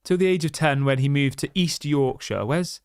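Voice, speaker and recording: A man's voice: fairly high pitch, moderate pace, darkish tone, clean tone, dry recording, studio quality. A man hosting a football fan livestream, reading viewer chats and ranting passionately with lots of restarts and rhetorical questions. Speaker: football fan channel host.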